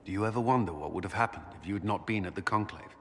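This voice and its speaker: A righteous, brooding military commander in a fantasy game. His voice is deep and solemn, devout and held back with grave intensity. Faint ambience sits behind him. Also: rapid-fire, fairly bright tone, a little hiss or hum. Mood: reflective. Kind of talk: dramatic video game dialogue.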